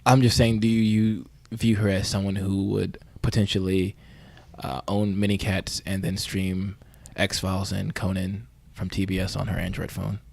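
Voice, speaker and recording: Man acting as earnest awkward paranormal podcast host, lowish creaky voice, rambles deadpan. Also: moderate pace, dry recording. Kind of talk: mock-investigative podcast interview.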